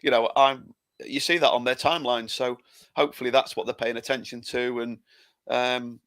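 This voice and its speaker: A man's voice: mid-range voice, fairly even intonation, moderate pace, fairly bright tone, no room sound. An English man co-hosting a football podcast in casual chat, often restarting his sentences. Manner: hesitant, lots of repeated starts. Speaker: podcast co-host.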